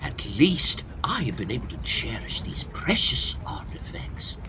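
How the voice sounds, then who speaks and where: A man's voice: medium pitch, huge pitch swings, measured pace, noisy background. A man acting a fussy, self-pitying older hypochondriac professor in a comic period farce, lamenting in florid theatrical style.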